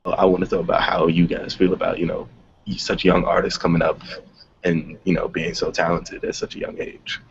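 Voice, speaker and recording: Male host, low creaky voice, flat intonation, casual talk, slightly noisy dull recording. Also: moderate pace. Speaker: host moderating a music discussion. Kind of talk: casual discussion. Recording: dull audio with faint background noise.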